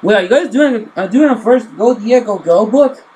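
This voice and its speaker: A woman's voice: a little high, expressive, moderate pace, warm tone, breathy edge, rough recording. A woman voicing an easygoing young male cartoon hedgehog in a casual, questioning way. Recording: game music behind the voice.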